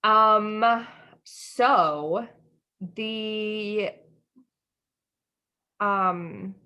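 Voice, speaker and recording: A woman's voice: mid-range voice, very slow, sharp tone, smooth. An American woman co-hosting a podcast in casual, friendly chat, informal and giggly, wandering off into asides. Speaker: podcast co-host.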